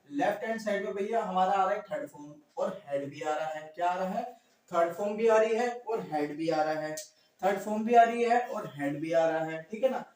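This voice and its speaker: A man's voice: high-pitched, a little mellow. A man with a sore throat teaching a grammar class, repeating each question to his students and answering it himself. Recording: very echoey room, distant mic.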